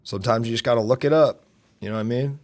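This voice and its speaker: A young man with a deep voice, streaming and chatting casually, loud and brash, swinging from shouts to mutters. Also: quick pace. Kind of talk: casual live-stream chat.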